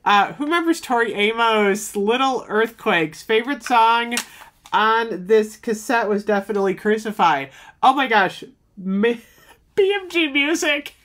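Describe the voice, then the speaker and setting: A woman's voice: fairly high pitch, lively intonation, unhurried, fairly bright tone. A woman in her fifties chatting to camera at home, nostalgic and talkative, often exclaiming and filling with "um". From United States. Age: 50s. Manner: chatty and nostalgic, many "oh my gosh" and "um". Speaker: vlogger. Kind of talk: casual chat to camera. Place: small home room with shelves.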